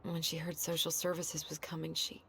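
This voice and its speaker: Against clear background noise, a young American woman with a fairly low voice. She is a caring, wry sister who doubts herself, in naturalistic game cutscene style. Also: completely flat delivery, normal speed, a bit bright. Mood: somber. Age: young adult.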